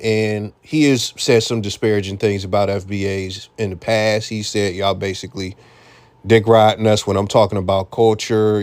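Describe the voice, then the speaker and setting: A man's voice: fairly low pitch, smooth. An American man hosting a reaction commentary, talking bluntly and casually with frequent profanity. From United States. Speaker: commentary channel host.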